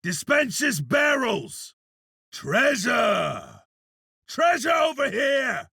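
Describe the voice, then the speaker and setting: An actor playing a wry, gruff warrior with a raspy, gravelly voice, delivering video game combat callouts. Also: male voice, very high-pitched, bright, forceful tone, no room sound, clean studio sound, exaggerated voice. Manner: wry, sardonic. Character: gruff, wry demon-hunting berserker warrior.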